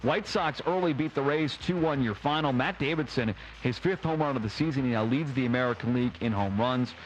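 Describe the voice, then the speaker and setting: A man's voice: mid-range voice, brisk, poor audio. Man hosting a sports radio post-game show, background noise. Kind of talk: radio sports recap and sponsor reads. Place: ballpark broadcast. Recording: clear background noise and ambience.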